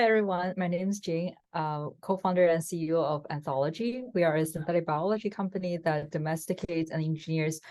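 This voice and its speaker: A woman with a low, mellow voice introduces herself on a video call. She speaks in a measured, technical way. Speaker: startup CEO.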